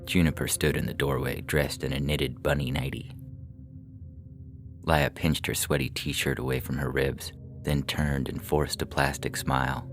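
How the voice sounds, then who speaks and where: A man reading scripted short fiction as a narrator, in a measured, dramatic, literary manner. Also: a bass voice, flat delivery. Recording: music behind the voice.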